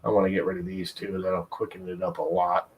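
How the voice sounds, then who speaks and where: A man's voice: sits low, little pitch movement, fairly quick, muffled recording. A man streaming and chatting casually while preparing a 3D print, rambling and swearing as he tells stories.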